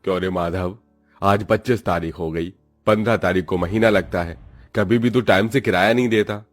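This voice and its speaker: Man playing a bossy, low-voiced cartoon boss. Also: fairly even intonation, normal speed, faint music behind, natural voice. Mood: threatening. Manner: scolding, brusque. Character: bossy, gruff male overseer and threatening landlord. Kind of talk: cartoon story voice acting.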